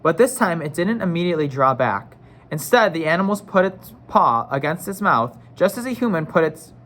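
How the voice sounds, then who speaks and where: A man's voice: fairly high pitch, fairly bright tone. A man narrating a children's novel in a read-aloud style, acting out the dialogue.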